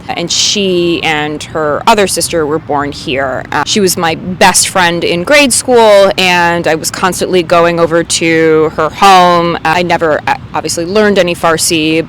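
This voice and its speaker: A woman's voice: low voice, a little hiss or hum, poor audio. A woman in her early forties answering street interview questions, recalling memories in a thoughtful, reflective way.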